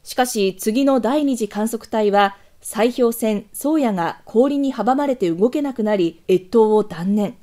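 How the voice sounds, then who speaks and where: A woman narrates a scripted news documentary. Her voice sits fairly high yet has a very mellow, dark timbre. The delivery is formal and measured, as a prepared read.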